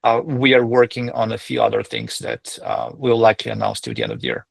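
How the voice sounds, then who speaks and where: Very gravelly-voiced man, executive on a call. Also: on the low side, sing-song, a little fast, no room sound. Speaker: company executive. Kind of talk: earnings call answer, semi-formal explanation.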